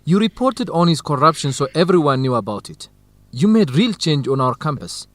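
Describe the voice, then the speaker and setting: An earnest young male student in a radio drama, reassuring and supportive. His voice sits fairly high and moves around a lot. The sound is dry and close. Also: very animated, moderate pace, fairly bright tone, dry recording. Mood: earnest, inspired. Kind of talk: educational radio drama acting.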